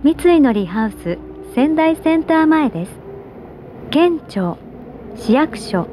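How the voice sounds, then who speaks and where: A woman giving scripted subway announcements in a polite, measured, carefully enunciated way, over a train PA. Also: high-pitched, very animated, warm tone. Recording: train PA with vehicle noise.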